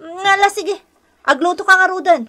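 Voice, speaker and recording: Sharp, high-pitched nagging aunt. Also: female voice, normal speed, noisy background. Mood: bossy, demanding. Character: lazy, scolding young wife and harsh aunt. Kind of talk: Ilocano radio drama acting.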